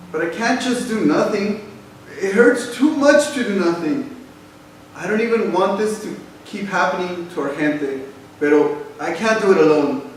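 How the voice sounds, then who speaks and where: A man playing an upset, passionate young Mexican American grandson in a staged reading, mixing English and Spanish. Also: sits high. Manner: impassioned, mixes English and Spanish. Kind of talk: staged reading, emphatic theatrical delivery. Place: theater stage, recorded from the audience. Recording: echoey hall from far off, faint noise.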